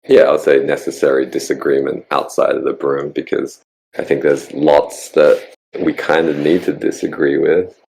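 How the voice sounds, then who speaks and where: A man with an Australian accent hosting a casual online group chat, musing in a relaxed way with frequent ums. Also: a bass voice, gravelly, low-quality recording. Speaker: podcast host.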